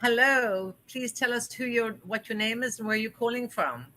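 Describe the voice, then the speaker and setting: Bright, effusive woman host from South Africa; dry studio sound. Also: a little high, normal speed, no echo, poor audio. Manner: chatty, effusive, with frequent fillers. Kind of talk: call-in interview show.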